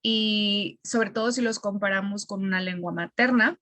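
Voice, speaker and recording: A woman with a Mexican accent, a language teacher explaining things reflectively in a conversational interview, pausing as she searches for words. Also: medium pitch, fairly bright tone, no echo.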